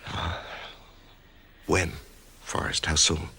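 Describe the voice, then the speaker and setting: An actor playing a middle-aged American man facing death, introspective and halting, narrating his own ordeal in a radio drama with a gravelly voice. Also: extremely low pitch, flat delivery, pushed and bright, slight background noise, full character voice. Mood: uneasy, hesitant. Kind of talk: radio drama acting with first-person narration.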